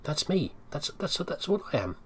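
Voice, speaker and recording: A man's voice: on the high side, big pitch swings, moderate pace, a bit bright, breathy edge. A man hosting a gaming video with casual, jokey commentary, often talking to himself. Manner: jokey, chatty, talks to himself. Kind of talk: casual let's play commentary.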